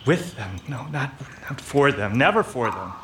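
A man's voice: medium pitch, very animated, normal speed, bright, forceful tone. A man acting a put-upon detective's sidekick who turns coolly menacing, with a theatrical, sardonic delivery, in a hard-boiled comic audio drama. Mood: frightened, defensive. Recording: clear background noise with clicks.

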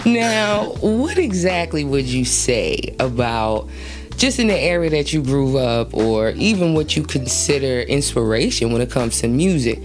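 A woman's voice: a bass voice, expressive, measured pace, soft background music. A woman hosting a casual interview, chatty and slangy, asking long, rambling questions and laughing easily. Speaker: interview host.

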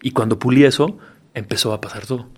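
A man's voice: mid-range voice, quick pace. Laid-back Mexican man, frank and slangy. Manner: relaxed, frank, slangy, with frequent "¿no?" tags. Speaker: actor and guest. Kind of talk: casual interview chat.

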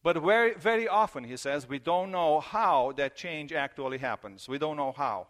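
A man preaching a sermon in English, his second language, earnest and repeating key phrases, slowing down for emphasis. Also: fairly high pitch, animated, fairly bright tone. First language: not English. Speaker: pastor.

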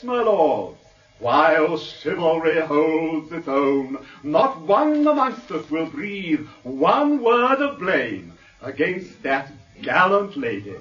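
An actor playing an eloquent defence barrister, declaiming a grandiloquent, theatrical courtroom oration in a radio drama. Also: male voice, high voice, fairly bright tone, full character voice. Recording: muffled, distant radio drama recording.

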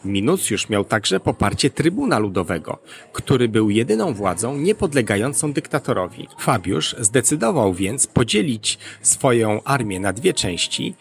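A man's voice: mid-range voice, very animated, normal speed, vocal fry. A man hosting an educational podcast, reading scripted narration.

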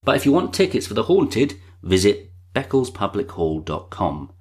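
A man presenting a podcast in a chatty, informal and gently self-deprecating way. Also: fairly low pitch, very animated, moderate pace. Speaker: podcast host and interviewer.

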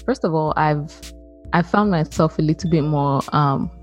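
A woman's voice: sits low, moderate pace, faint music behind. A young woman from Nigeria co-hosting a podcast, speaking casually and hesitantly with many fillers. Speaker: podcast co-host. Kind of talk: casual chat.

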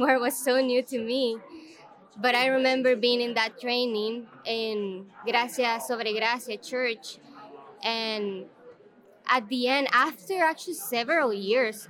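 A young woman from El Salvador, speaking English as a guest in a casual interview, earnest and quick to laugh, with frequent "um" pauses. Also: on the high side, fairly bright tone. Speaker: guest, ministry content manager.

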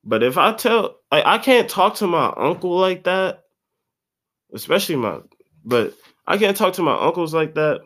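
A man's voice: high-pitched, big pitch swings, normal speed, a bit bright. A man of about twenty, congested, rambling in a slangy, profane solo podcast monologue. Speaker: podcast host.

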